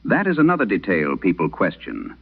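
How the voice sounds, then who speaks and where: A man performing as a late-night radio host, solemnly reading an eerie letter in measured, deliberate phrases through an old radio filter, in a vintage horror drama style. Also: deep voice, huge pitch swings, gravelly, full character voice. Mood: ominous, tense. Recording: tinny band-limited old radio sound.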